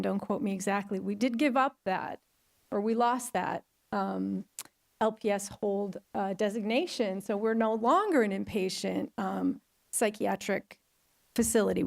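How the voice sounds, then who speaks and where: A middle-aged woman, a hospital risk manager, giving a chatty, enthusiastic conference talk in a large auditorium with a loud voice and many fillers. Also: mid-range voice, gravelly.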